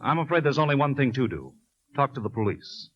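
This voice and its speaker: An actor playing a calm, level-headed lawyer friend in a 1950s radio drama. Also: male voice, mid-range voice, brisk, husky, no room sound. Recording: dull vintage radio recording.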